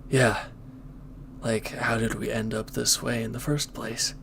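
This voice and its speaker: A man playing a former villain turned hesitant, conflicted lover, speaking earnestly and haltingly in an intimate audio roleplay. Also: medium pitch, level tone, vocal fry, fairly bright tone, slight background noise. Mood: hesitant, anxious.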